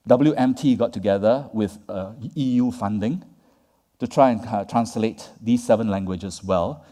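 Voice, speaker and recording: Lively man lecturing in a reverberant hall. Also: fairly low pitch, a little slow. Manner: conversational, tags points with "right?" and "yeah?". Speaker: conference speaker. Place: conference hall. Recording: long reverb of a large hall.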